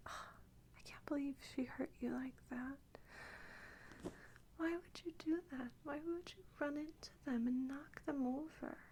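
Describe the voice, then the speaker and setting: A soft-spoken woman chatting casually in an ASMR style, often dropping into whispers, playful and doting toward the listener. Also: high voice, a little hiss or hum. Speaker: ASMR creator talking to viewers. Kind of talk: casual rambling ASMR chat.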